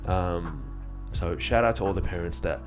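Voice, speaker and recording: Young man with a very low voice. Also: normal speed, music in the background. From born in Iraq, moved to Australia as a child. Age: young adult. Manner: informal, candid, with slang and swearing. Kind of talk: casual monologue mixing reflection and personal storytelling.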